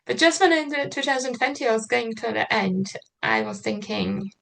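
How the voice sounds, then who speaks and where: A woman from Slovakia with a Slovak accent tells her personal story as an interview guest, haltingly, searching for words and repeating herself. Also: on the high side, expressive, gravelly, a bit bright. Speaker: interview guest, writer and mentor. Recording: noticeable background noise.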